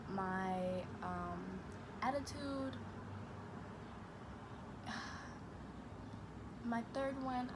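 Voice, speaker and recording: A young woman from Chicago who talks fast and stutters, reading get-to-know-me questions aloud and answering them in a casual vlog. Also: medium pitch. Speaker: vlogger. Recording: noisy room ambience.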